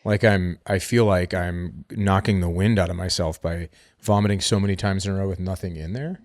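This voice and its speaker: A man co-hosting a podcast, telling gross stories in a lively, joking way while getting over being sick. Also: sits low, normal speed, no echo, clean studio sound. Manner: animated, graphic storytelling with playful teasing. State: recovering from illness, sore ribs. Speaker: podcast co-host. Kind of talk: casual comedic chat.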